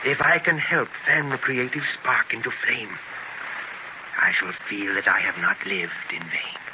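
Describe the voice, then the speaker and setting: A man acting in a 1930s radio drama as a proud, ailing, half-blind newspaper publisher, speaking forcefully and emphatically. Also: on the low side, fairly even intonation, measured pace, highs cut off, natural voice. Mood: earnest, idealistic. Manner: declamatory, emphatic. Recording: scratchy, noisy 1930s radio transcription.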